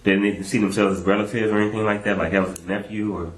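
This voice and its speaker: A male interrogator speaks quickly in a fairly low voice. He probes informally with short follow-up questions. The recording is echoey, with faint noise. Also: steady tone. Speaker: police interrogator. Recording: roomy, noisy police interview recording.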